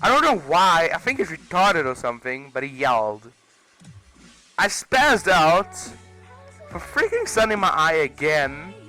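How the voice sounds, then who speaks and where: A man's voice: a little high, sing-song, unhurried, bright, forceful tone, rough recording. A young man, telling a personal story casually with exaggerated comic emphasis, over music. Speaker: video creator.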